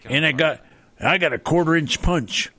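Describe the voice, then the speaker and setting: A man's voice: a little high, normal speed, bright, forceful tone. A man playing a boastful old-west handyman rival in a comedy radio skit, talking in a folksy, competitive way. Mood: competitive boasting.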